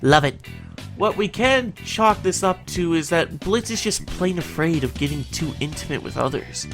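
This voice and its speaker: A woman's voice: on the low side, expressive, moderate pace, music in the background. A woman co-hosting a fan recap, giving semi-scripted episode commentary in an emphatic, theatrical way.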